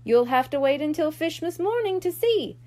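A conscientious big-sister kitten-mermaid girl from a storybook, played by a woman reader. She sounds earnest and a touch worried, in a high, animated voice. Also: normal speed. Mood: kind, earnest.

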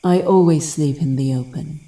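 A mellow-voiced, solemn guardian warrior played by a woman speaks with grave formality. Her wise, measured audio drama delivery sits a little back from the microphone. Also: sits low, recorded from a distance, low-quality recording.

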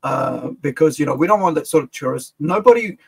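A middle-aged man from Fiji whose accent sounds American, talking casually and animatedly as a streamer reacting to a clip. His voice is gravelly and husky, and he rambles through restarts as he makes his point from a desk in a small room. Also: on the high side, lively intonation, fairly quick. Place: small room with collectible shelves, gaming chair.

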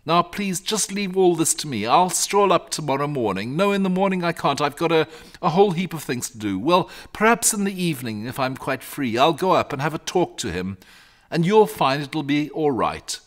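A man plays a self-assured, bookish young boy in a storybook. The voice sits high and moves in lively steps, explaining things patiently with a slightly superior, matter-of-fact air. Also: normal speed, natural voice. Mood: calm, assured. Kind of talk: storybook character reading.

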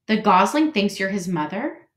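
A woman voicing a quiet, gentle young male beaver. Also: medium pitch, lively intonation, normal speed, lightly acted. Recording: roomy echo.

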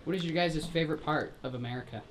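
A student interviewer asking exchange students questions for a school TV show. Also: female voice, low-pitched, fast, slight background noise.

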